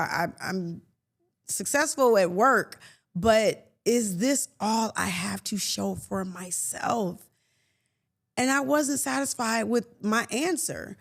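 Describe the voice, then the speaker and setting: A woman in her late fifties hosts a faith podcast in a reflective monologue. She talks earnestly and conversationally, with soft laughs and frequent restarts. Her voice sits in a middle pitch. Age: 50s. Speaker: podcast host.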